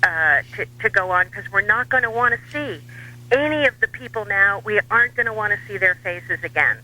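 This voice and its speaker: An American man giving political commentary as a radio guest, wry and dryly humorous, with measured hesitations. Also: high voice, lively intonation, pushed and bright, rough recording. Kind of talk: talk radio interview.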